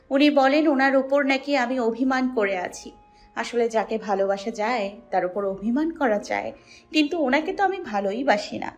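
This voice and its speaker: A woman's voice: a little high, natural voice. A woman playing a hurt, resentful young woman in a plaintive, dramatic audio-story monologue. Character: hurt, resentful young woman nursing an old rejected love.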